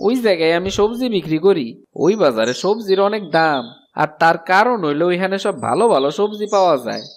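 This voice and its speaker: A man's voice: sits high, lively intonation, a little fast. A man voicing a plain-spoken village farmer and vegetable trader in a cartoon, with a rural eastern Bengali accent. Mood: matter-of-fact. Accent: rural eastern Bengali dialect. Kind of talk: cartoon story acting.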